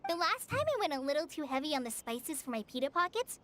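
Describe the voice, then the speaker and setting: A woman's voice: high-pitched, sing-song, quick pace, fairly bright tone. A woman voicing an earnest, shy teenage girl, a trainee forest ranger in a video game, speaking sincerely and a little hesitantly. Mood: chatty.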